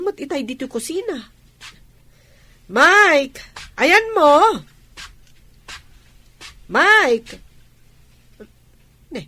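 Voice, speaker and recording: A woman's voice: high-pitched, a bit bright, slight background noise, heavily acted. A woman with an Ilocano accent acting as a middle-aged provincial housewife and attentive wife in a radio drama.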